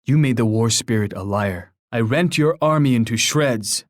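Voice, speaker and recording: An actor playing a proud, warlike chief in a video game, speaking in solemn, formal, poetic oratory. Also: male voice, medium pitch, normal speed, no room sound, studio quality, natural voice. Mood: boastful, triumphant. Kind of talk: scripted video game character voice lines.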